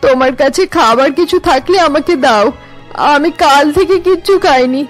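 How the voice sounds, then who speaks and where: A woman voices an elderly cartoon mother-in-law, sorry and imploring. Her voice sits high and plaintive. Music plays clearly behind her. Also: moderate pace, close to an everyday voice. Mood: remorseful, plaintive. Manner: plaintive, pleading. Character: remorseful, pleading elderly mother-in-law cast out of home. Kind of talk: cartoon family melodrama acting.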